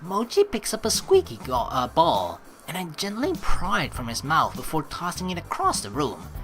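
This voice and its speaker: A woman gives chatty, playful commentary on a game. Her pitch swings widely as she rambles and reads aloud. Game music plays underneath. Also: huge pitch swings, normal speed, fairly bright tone. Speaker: let's player reading and reacting to a game. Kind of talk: casual gameplay commentary.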